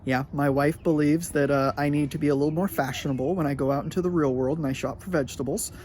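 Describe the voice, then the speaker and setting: A middle-aged man with a somewhat higher voice tells a rambling story about his week. He sounds chatty and animated, with plenty of "uh"s and "you know"s. A faint hiss sits under the recording. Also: fast talker. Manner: chatty, animated, lots of filler words. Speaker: high school sports medicine teacher. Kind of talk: casual storytelling to students.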